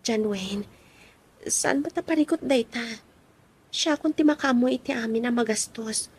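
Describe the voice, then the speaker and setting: A woman playing a devoted young girlfriend in a Filipino radio drama, soft, pleading and affectionate. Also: on the high side, measured pace, slightly rough. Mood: earnest pleading. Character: devoted young girlfriend longing to get married.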